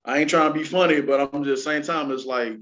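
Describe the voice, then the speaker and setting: A man's voice: a little high, fairly even intonation, fairly quick, fairly bright tone. Guy hosting an entertainment chat show, slangy and joking, kinda dull lo-fi audio. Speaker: talk show host. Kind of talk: casual entertainment news chat.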